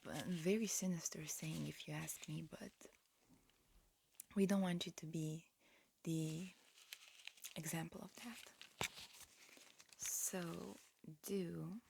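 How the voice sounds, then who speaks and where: A woman whispering breathily in a gentle, halting way, close to the mic, playing a politely fussy examiner in an ASMR roleplay. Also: fairly low pitch, steady tone, a little hiss or hum. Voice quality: breathy, mostly whispered with soft murmurs. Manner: gentle, unhurried, halting instructions. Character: disguised alien medical examiner, politely fussy and encouraging.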